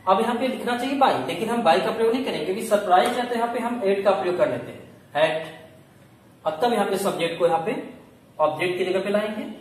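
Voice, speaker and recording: A man's voice: high-pitched, expressive, brisk. A man with an Indian accent teaching like a classroom grammar tutor, explaining step by step and answering his own questions. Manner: didactic, asks questions and answers them himself. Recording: very echoey room, distant mic, faint noise.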